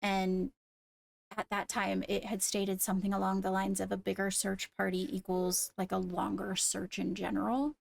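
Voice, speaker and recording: A woman playing a composed, courteous court leader in an improvised fantasy game roleplay, speaking in a measured conversational way. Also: mid-range voice, flat delivery, creaky, no echo. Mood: diplomatic, thoughtful. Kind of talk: improvised Minecraft roleplay in character.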